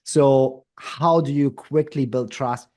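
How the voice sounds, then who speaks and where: A man's voice: mid-range voice, steady tone, slow, mellow. A man from Germany with a German accent, speaking as a company founder in a conversational interview and often checking in with "right?". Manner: thoughtful, tags sentences with "right?".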